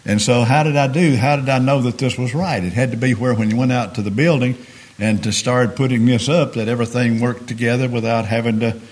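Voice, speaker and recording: A middle-aged man preaching a sermon in a hoarse, raspy voice, speaking plainly with homespun examples and frequent restarts. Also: mid-range voice, steady tone, brisk, darkish tone.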